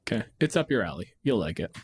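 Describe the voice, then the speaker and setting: A man's voice: on the low side, big pitch swings, fast talker, darkish tone, husky. A man co-hosting a podcast, chatting casually about tabletop games in a blunt, profane, joking way. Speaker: podcast host.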